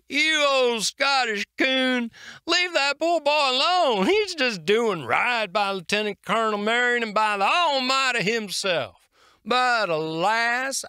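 A man voicing a hearty, boisterous colonial army sergeant who talks in a booming, jovial, mocking way. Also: vocal fry, sharp tone, clean tone, no room sound, clean studio sound, exaggerated voice.

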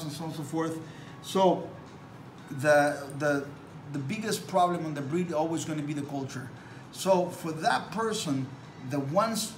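A man in his forties who learned English as a second language speaks in a fairly high voice, earnest and preachy, circling back to repeat his points. He talks to the camera from a small room that rings with echo, with steady background noise under him. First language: not English. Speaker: dog breeder. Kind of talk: talking to camera.

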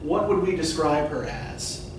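A man's voice: on the high side, expressive, unhurried. Lecturing man in an echoey classroom. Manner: conversational, asks questions, frequent "right?" checks. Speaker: literature professor. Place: classroom with whiteboard. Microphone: far camera at the back of the room. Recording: echoey classroom from far off, noisy.

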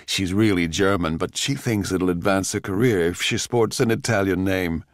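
A man's voice: sits low, moderate pace, dry recording, studio quality, lightly acted. A man voicing a brash, immodest, gleeful young male composer in a radio drama. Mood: boastful, gleeful.

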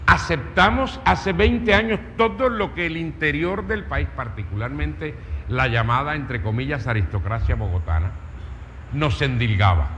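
A man's voice: fairly high pitch, animated, unhurried, sharp tone. Emphatic Caribbean Colombian man in a hall. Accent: Colombian Caribbean coast. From Caribbean coast of Colombia. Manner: rhetorical, emphatic, with lists and questions. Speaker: speaker, writer. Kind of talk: storytelling public talk. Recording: dull, reverberant hall with faint noise.

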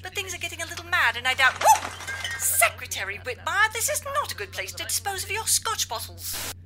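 A woman's voice: very high voice, big pitch swings, a little fast, vocal fry, loud music behind the voice, heavily acted. A woman playing a flustered, polite, eccentric doctor in a comedy-adventure podcast, rambling in a genteel way. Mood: flustered, apologetic.

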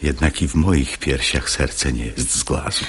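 An actor playing a sanctimonious, unctuous hypocrite who woos with lust, in a husky, breathy voice, in a verse radio drama. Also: male voice, rumbling low, a bit bright, full character voice. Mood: ardent wooing. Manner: unctuous, slow declamation. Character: sanctimonious hypocrite man, unctuous, lustfully wooing a married woman.

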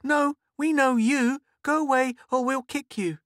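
A man voicing a loud, breathless, bossy seven-year-old girl in a storybook reading. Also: extremely high pitch, unhurried, dry recording, clean studio sound, pitched above the performer's own voice. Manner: breathless, commanding.

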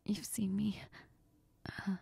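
A woman playing a heartbroken young woman in an intimate audio role-play, crying and confiding in a halting, breathy, often whispered voice. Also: dark tone, no echo, close to an everyday voice. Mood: tearful, weary. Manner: halting, sniffly, tearful. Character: heartbroken, tearful, apologetic young woman confiding in best friend.

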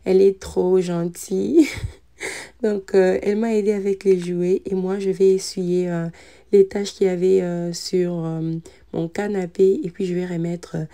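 A woman in her thirties, a mother, narrating a cleaning vlog in a calm, relaxed voice-over with frequent hesitant fillers. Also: fairly low pitch, a little slow. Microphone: voice-over recorded separately.

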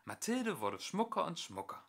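A young man reading a children's storybook aloud as an amateur narrator, with expressive storytelling. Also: on the high side, normal speed.

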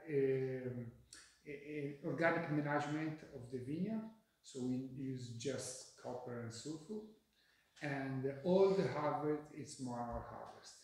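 Italian man, halting English, echoey room. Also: mid-range voice, darkish tone. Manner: halting, searching for English words with many fillers. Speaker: winemaker. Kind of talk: interview answers. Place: bright kitchen-like tasting room, indoors.

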